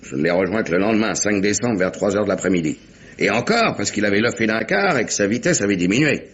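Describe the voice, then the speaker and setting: Capitaine de marine bourru, voix grave. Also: male voice, fairly low pitch, expressive, fast talker, a bit bright. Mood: matter-of-fact recounting. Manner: blunt, colloquial, grumbling. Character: gruff, impatient male English merchant sea captain. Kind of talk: French radio mystery drama acting. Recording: dull, noisy vintage radio recording.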